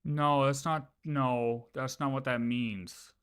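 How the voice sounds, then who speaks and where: A game streamer reacting casually on a livestream, talking in a halting, argumentative mutter. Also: medium pitch, steady tone, faint music behind.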